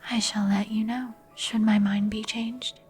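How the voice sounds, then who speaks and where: A woman playing a reserved, polite vampire heir in an ASMR roleplay, with a whispery, breathy voice and a guarded, formal manner. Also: fairly high pitch, little pitch movement, a little slow, darkish tone, soft background music, lightly acted. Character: reserved female vampire heir who shuns crowds.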